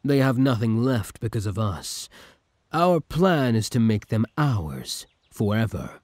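A man playing an obsessive, lovesick older villain from the future in an audio roleplay, commanding and intimate, with a husky voice. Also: medium pitch, big pitch swings, a little slow, a little mellow, no room sound, studio quality. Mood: commanding, possessive. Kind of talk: scripted ASMR roleplay acting.

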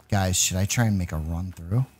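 A man hosting a gaming video, giving excited, exclamatory gameplay commentary with playful mock alarm as he addresses his viewers. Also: sits low, moderate pace, breathy edge.

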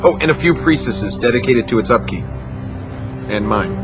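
An actor playing a glib, sarcastic young adventurer hero in a video game. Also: male voice, mid-range voice, expressive, normal speed, music in the background, natural voice. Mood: teasing, sarcastic. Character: cocky wisecracking young male adventurer hero.

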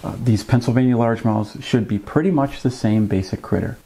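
A man in his fifties hosting a fishing vlog, explaining things to camera in a conversational, measured way with wry asides. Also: medium pitch, creaky, warm tone, slight background noise. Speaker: fishing channel host. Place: small indoor room at a desk with a computer.

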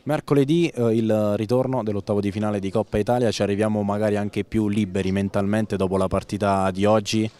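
A man interviewing players after a match, asking leading questions with pauses and fillers. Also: lowish voice, level tone, dark tone. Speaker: sideline interviewer.

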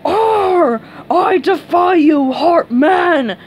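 Lady doing a big sulky starfish guy losing his temper, yelling high, cartoon storybook style. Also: expressive, very slow, a little hiss or hum, exaggerated voice. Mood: furious, wounded. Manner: brooding, bellowing when enraged. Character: chubby male starfish, sulky, prone to furious rampages.

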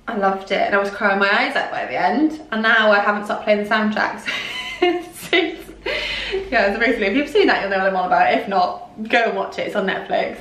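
A woman in her thirties from Wales with a slight Welsh accent, chatting brightly to camera about things she has bought, with lots of rambling asides. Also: a little high, recorded from a distance. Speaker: fashion vlogger. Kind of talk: casual haul chat to camera. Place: small bright room indoors, in front of a door. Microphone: camera a few feet away. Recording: roomy sound, mic set back.

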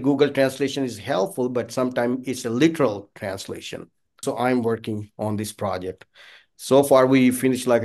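A middle-aged man from Pakistan, a native Punjabi speaker with a Pakistani accent, chatting as an interview guest in halting, non-native phrasing. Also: lowish voice, a little mellow. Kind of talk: casual conversational interview. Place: small indoor office, on a video call. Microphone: video call.

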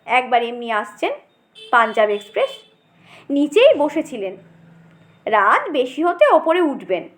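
A woman's voice: high-pitched, sing-song, unhurried, long pauses. A woman narrating a humorous short story expressively, as an audiobook storyteller.

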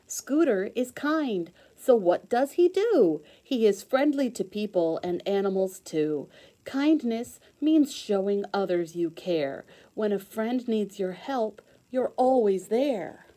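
A woman teacher reading a children's storybook aloud in an expressive sing-song way with clear emphasis. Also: medium pitch, slow.

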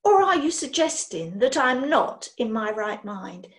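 A woman voicing an eccentric, brisk witch who gushes and turns theatrical, rising to outraged squeaks. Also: big pitch swings. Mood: lecturing.

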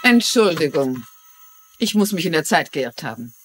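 An actress playing a shrewd, courteous mystery writer in a dubbed TV drama, articulate and measured. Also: female voice, low-pitched, sing-song, slight background noise. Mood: regretful. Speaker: actress in a German dub.

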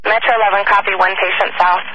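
Quick, bright-voiced male dispatcher on radio. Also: high-pitched, little pitch movement, a little hiss or hum. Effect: police radio. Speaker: police dispatcher. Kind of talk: brief radio dispatch acknowledgements. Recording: muffled phone-like police radio.

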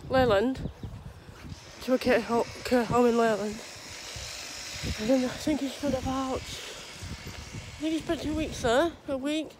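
A woman's voice: sits high, fairly even intonation, a little slow. Rambling young woman with a cold. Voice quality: thick, clogged voice. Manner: rambling, hesitant, many restarts and fillers. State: has a cold, sore throat, runny nose. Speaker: vlogger. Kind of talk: casual rambling update. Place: outdoors on a street, walking dogs. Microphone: phone held low at arm's length. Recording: phone recording outdoors with traffic noise.

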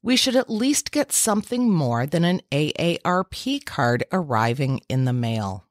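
Slow, lively-voiced woman giving a speech. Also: low-pitched, no echo, clean studio sound. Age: 50s. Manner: measured, deliberate delivery with emphatic pauses. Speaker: podcast host. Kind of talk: mock commencement speech. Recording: music bed underneath.

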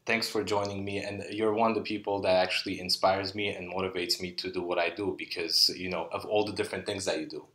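A man with a very deep voice hosts a casual music interview. He talks haltingly, full of fillers and restarts. The room around him is echoey. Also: level tone, fairly bright tone. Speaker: podcast host, conductor.

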